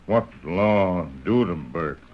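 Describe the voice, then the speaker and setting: A man playing a loyal, solemn Native sidekick in an old radio western, speaking terse, halting broken English. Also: deep voice, expressive. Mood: solemn, puzzled. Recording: dull vintage radio recording, faint noise.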